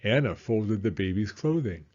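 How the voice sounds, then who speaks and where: A man reading a children's story aloud as an animated narrator. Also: lowish voice, normal speed, dull audio.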